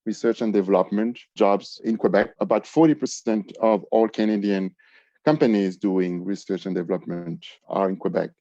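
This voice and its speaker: A man advising on business development, giving measured, informative answers in a podcast interview. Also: on the low side, warm tone, no room sound, dull audio.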